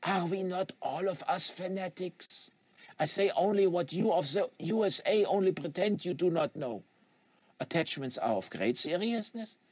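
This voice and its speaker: Novel reading: man plays solemn Quebecois fanatic, lecturing, earnest, highish and very lively in pitch. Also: moderate pace, breathy edge, no room sound. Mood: fervent, preaching. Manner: solemn, rhetorical, foreign phrasing. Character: earnest, lecturing French-Canadian male assassin in a wheelchair.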